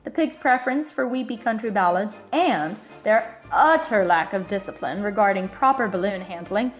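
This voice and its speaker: A woman reading a children's picture book aloud in an expressive, emphatic way, stretching out key words. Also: mid-range voice, normal speed, muffled recording, faint music behind. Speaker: storybook reader.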